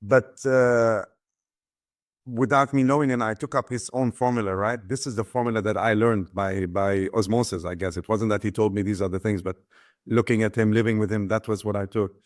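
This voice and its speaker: A middle-aged man from Ghana speaks in a somewhat low voice, reflecting on his own story. He often pauses, restarts and repeats words as he works out his thoughts. The sound is dry and close. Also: steady tone, dry recording. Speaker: guest, business founder and author.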